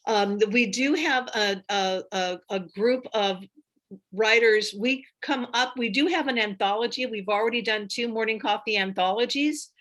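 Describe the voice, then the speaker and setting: A woman's voice: medium pitch, fairly bright tone. A woman giving an enthusiastic, chatty workshop talk over a video call, with frequent fillers. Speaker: workshop presenter.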